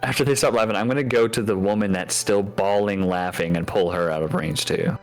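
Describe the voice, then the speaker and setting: A man's voice: lowish voice, brisk. A man playing a tabletop game, chatting casually and speaking in character. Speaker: player. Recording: background music.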